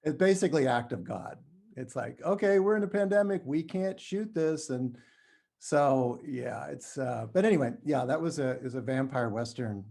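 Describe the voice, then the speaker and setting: A middle-aged man from New Mexico being interviewed, talking casually and haltingly, often correcting himself. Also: medium pitch, expressive, vocal fry, darkish tone. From New Mexico, United States. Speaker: podcast guest, writer.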